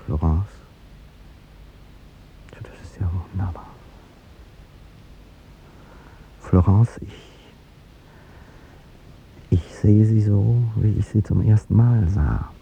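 A man's voice: takes it slowly, long pauses. An actor playing an aging, courtly president in a 1950s radio drama, speaking formally and hesitantly as he gives up a beloved young woman. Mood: tender, wounded. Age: older. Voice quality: deep, gravelly. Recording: muffled vintage radio recording, rumbling hiss.

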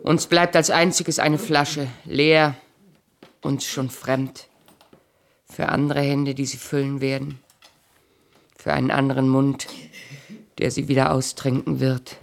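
An actress playing a proud, hard, aging Greek wife in a German radio play, commanding, curt and bitter. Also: female voice, rumbling low, level tone, slow pace, creaky, pauses often, slight background noise, exaggerated voice. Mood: bitter, melancholy. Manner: imperious, curt, scornful, then wistful.

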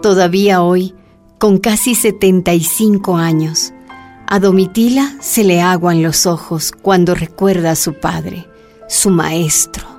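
A woman's voice: on the low side, measured pace, darkish tone, faint music behind. A woman narrating a scripted radio drama.

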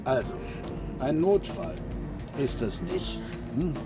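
A man playing a calm, matter-of-fact, reluctant doctor in a radio play. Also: medium pitch, slow pace. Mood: dry, grudging. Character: dry, reluctant doctor on a train. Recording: loud music and train sound behind.

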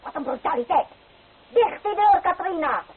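Shrill, imperious old grandmother. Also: animated, moderate pace. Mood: indignant. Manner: imperious, scolding. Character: stern, unforgiving old grandmother. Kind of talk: radio drama acting. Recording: tinny, noisy old radio recording.

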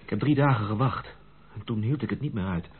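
Husky, earnest gentleman. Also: lowish voice, a little fast. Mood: regretful, pained. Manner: formal, earnest, dramatic. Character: earnest, lovelorn young gentleman of rank. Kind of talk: Dutch radio drama acting. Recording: muffled, band-limited old radio recording.

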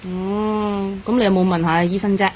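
An actress playing a chatty, teasing, excitable young woman in a radio drama, speaking Hong Kong Cantonese. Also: mid-range voice, moderate pace, natural voice. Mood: grumbling, chatty.

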